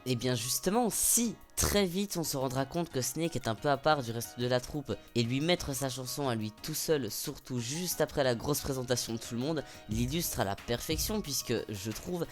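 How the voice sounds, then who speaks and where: A man's voice: medium pitch, lively intonation, moderate pace, hardly any pauses. A man delivering a scripted video review of a stage musical, opinionated and sarcastic, with sudden heated outbursts. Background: faint background music.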